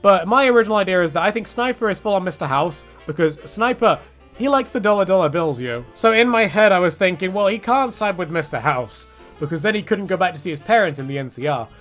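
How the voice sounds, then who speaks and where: High-pitched, animated man on a jokey rant. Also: quick pace, faint music behind. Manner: jokey, rambling, slangy, acts out imagined quotes. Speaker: video creator, game commentator. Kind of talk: casual opinionated rant.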